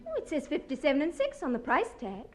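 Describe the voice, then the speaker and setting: High-voiced, naive English dinner date. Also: female voice, huge pitch swings, fast talker. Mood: bluntly innocent. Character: sweet, blunt young woman, naive dinner date. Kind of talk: 1950s British radio comedy acting. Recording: old radio recording, audience and music behind.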